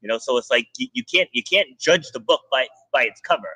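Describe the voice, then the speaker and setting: A man hosting a podcast, a fitness coach chatting casually and telling stories in an animated way. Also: medium pitch, fairly quick, breathy edge, dry recording, rough recording. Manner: animated storytelling, many restarts. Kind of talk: casual interview chat.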